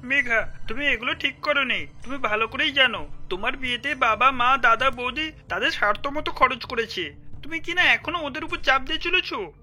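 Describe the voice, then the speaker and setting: A serious, reproachful young husband from a cartoon tale, voiced by a woman. The tone is extremely sharp and high, with soft music underneath. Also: a little fast, full character voice. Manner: chiding, serious. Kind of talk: cartoon story acting.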